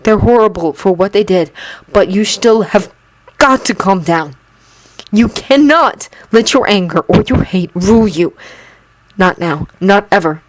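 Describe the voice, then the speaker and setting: A woman doing a soft, stern young mentor. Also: mid-range voice, expressive, measured pace, natural voice, low-quality recording. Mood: soothing.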